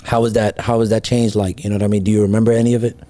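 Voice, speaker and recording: Casting: relaxed-sounding male podcast host, lowish pitch, slangy and conversational. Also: racing, no room sound. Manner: informal, slangy, friendly address. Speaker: interview host. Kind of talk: casual conversational interviewing.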